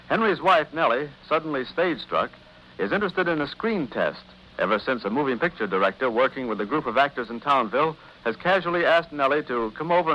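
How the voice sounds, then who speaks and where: A man narrating an old-time radio serial as its announcer, from a script. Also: mid-range voice. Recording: muffled old radio recording.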